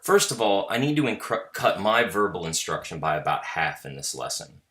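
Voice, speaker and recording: A male teacher with a loud voice, narrating a measured, self-critical reflection on his lesson. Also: deep voice, sing-song.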